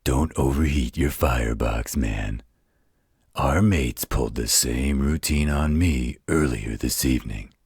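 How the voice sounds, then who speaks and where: A man playing a blunt, loyal, wisecracking supernatural pack mate in a roleplay audio drama, with a raspy, gravelly voice and a laid-back manner. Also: very deep voice, measured pace, darkish tone, no room sound, clean studio sound, full character voice. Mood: teasing.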